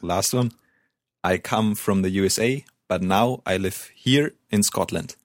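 A man's voice: low-pitched, a little slow, no room sound, clean studio sound. A man co-hosting a language lesson, giving brief corrections and encouragement. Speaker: German language co-host.

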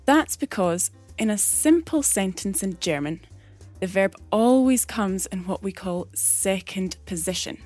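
A woman explaining a grammar rule in a clear, patient teaching manner, as a recorded segment. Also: mid-range voice, lively intonation, unhurried, darkish tone, dry recording, faint music behind. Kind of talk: scripted explanation. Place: recorded separately from the studio.

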